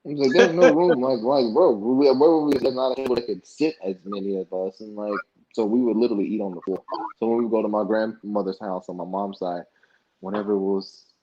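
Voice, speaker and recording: Young male podcast guest, low and husky, animated storyteller, muffled lo-fi sound. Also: a little fast. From United States. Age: young adult. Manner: animated, acts out past dialogue in colloquial speech. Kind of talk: casual interview storytelling. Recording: dull lo-fi podcast audio.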